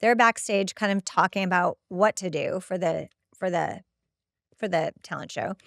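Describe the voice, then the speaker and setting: A woman hosting a casual TV rewatch podcast, talking in an animated, conversational way with incredulous asides. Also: on the low side, moderate pace, vocal fry, dry recording, studio quality. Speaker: podcast host.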